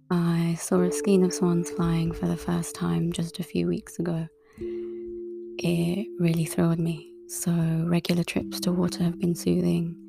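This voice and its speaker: A woman with a low, gravelly, relaxing voice, talking in an unhurried, half-whispered monologue. Her pitch barely moves. Guitar music plays clearly underneath. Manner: slow, calm, breathy half-whispered delivery. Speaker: tarot reader and writer. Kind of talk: soft-spoken monologue. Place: outdoors in a wooded area.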